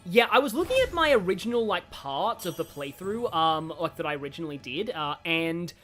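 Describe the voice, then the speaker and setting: A woman's voice: on the low side, big pitch swings, a little fast. A woman giving casual, rambling live commentary on a game, chatty and wry. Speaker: game streamer. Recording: game music behind.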